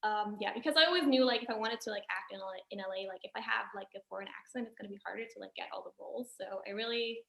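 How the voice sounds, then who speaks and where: A woman's voice: medium pitch, rapid-fire, vocal fry, few pauses, a bit bright. A young woman from Austria, a native German speaker with an acquired American accent, chatting casually as a guest. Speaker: singer and actress guest. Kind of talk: casual chat. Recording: roomy, echoing voice.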